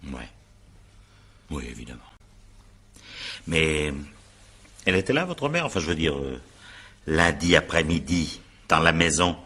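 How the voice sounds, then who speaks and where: A sardonic examining magistrate in a radio mystery. His voice is very low yet swoops widely, with a sharp, forceful edge. He probes with irony and drops into muttered asides. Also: very animated, a little slow, long pauses, husky, exaggerated voice. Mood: pressing, dry. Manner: probing, ironic, digressive, muttering asides. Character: shrewd, sardonic examining magistrate questioning a suspect. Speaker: actor in a radio mystery drama. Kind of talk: French radio drama acting, naturalistic interrogation.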